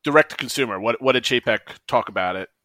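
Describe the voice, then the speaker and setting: A man co-hosting a casual podcast, talking in a relaxed way that drops into lower asides. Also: fast, dry recording. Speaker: podcast co-host.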